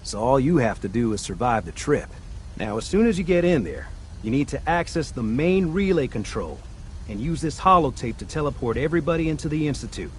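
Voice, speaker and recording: A man's voice: mid-range voice, big pitch swings, brisk, slight background noise, close to an everyday voice. An actor voicing a friendly male mechanic in a game who eagerly explains a plan step by step.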